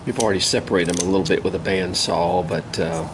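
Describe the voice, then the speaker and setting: An older man with a hoarse voice from a cold, casually talking through a woodcarving demonstration in an easygoing, folksy way. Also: low voice. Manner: easygoing, folksy, unhurried, with many pauses. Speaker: woodcarving instructor. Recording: steady outdoor ambient noise.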